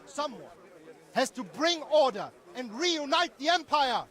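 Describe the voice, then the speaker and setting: Opinionated townsman, very high voice, swooping intonation, declamatory, argumentative, video game cutscene. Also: sing-song, measured pace, a bit bright, a faint crowd, full character voice. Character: opinionated townsman loudly defending the rival king.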